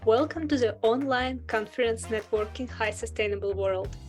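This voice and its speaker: Lady moderator opening an online conference, kinda high voice, soft music behind. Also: moderate pace.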